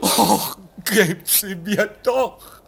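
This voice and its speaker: A man's voice: high voice, very slow, gravelly, slightly rough, heavily acted. An actor playing a desperate, unhinged young man in a radio play, pleading and overwrought. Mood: anguished longing. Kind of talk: German radio play acting.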